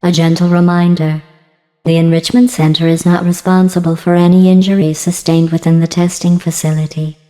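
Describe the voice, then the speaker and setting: A woman voicing a passive-aggressive female artificial intelligence in a video game, deadpan and mock-sweet, with synthetic robotic processing. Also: on the low side, a little fast, long reverb tail. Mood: clinical, deadpan. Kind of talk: scripted video game dialogue.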